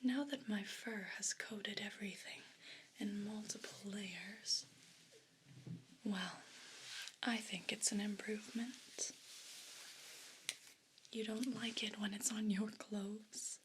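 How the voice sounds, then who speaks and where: A woman voicing a vain, pompous evil house cat in a breathy whisper for an ASMR role play. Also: medium pitch, fairly even intonation, slight background noise, lightly acted, poor audio. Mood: smug, condescending. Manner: pompous, deliberate, formal wording.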